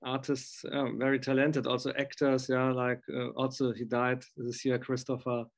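Middle aged guy doing an interview over video call, really gushing, medium pitch voice. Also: completely flat delivery, normal speed. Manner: effusive, enthusiastic. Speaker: interviewer, film music fan. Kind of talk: casual interview chat. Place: indoor room at home on a video call.

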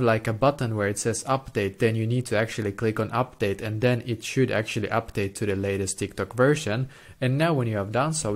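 A man in his twenties hosting a step-by-step tech tutorial in a repetitive, explanatory way, speaking close to a desktop microphone indoors. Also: medium pitch. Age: 20s. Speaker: tutorial host. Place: small plain room indoors. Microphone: desktop microphone close in front.

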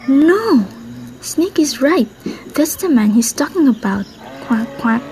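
Woman as a high, slow-talking puppet duck. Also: lively intonation, darkish tone, a little hiss or hum, rough recording. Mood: alarmed. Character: quacking puppet duck, earnest farm animal.

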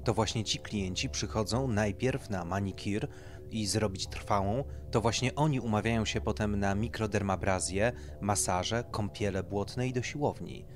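A man's voice: fairly low pitch, normal speed, soft background music. A man reading a satirical horror story as scripted narration. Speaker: narrator, audiobook reader.

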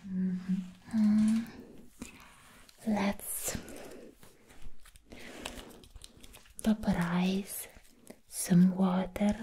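A woman playing a sweet, doting vampire in an ASMR roleplay, soothing the listener in a breathy whisper and gently repeating words. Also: medium pitch, a little hiss or hum. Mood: doting, gentle. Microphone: close ASMR microphone.

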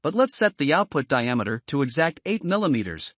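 Higher-pitched man narrating a software tutorial. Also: very animated, fairly quick, dry recording. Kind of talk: scripted instructional narration.

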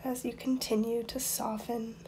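A woman guiding a meditation in a soft, breathy, near-whispered voice, soothing and unhurried, with long pauses between instructions. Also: fairly high pitch, little pitch movement, a little hiss or hum. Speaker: meditation guide. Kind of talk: guided meditation.

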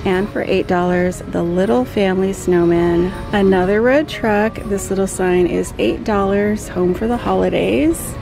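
A woman vlogger walking a store aisle with her phone, calmly listing decor items and their prices. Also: mid-range voice, measured pace, vocal fry, hardly any pauses, music in the background. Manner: calm, listing prices item by item. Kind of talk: shop-with-me narration. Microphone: handheld phone camera.

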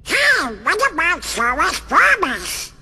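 Hot-tempered game sidekick duck: high, swooping, garbled squawk. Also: wildly swooping pitch, takes it slowly, bright, forceful tone, exaggerated voice. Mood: excited. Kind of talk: animated game cutscene acting.

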